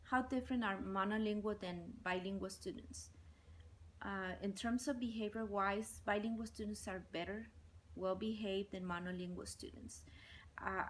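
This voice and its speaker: Measured woman, Spanish native speaker. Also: medium pitch, a little hiss or hum. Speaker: bilingual ESL teacher. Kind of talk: interview answers.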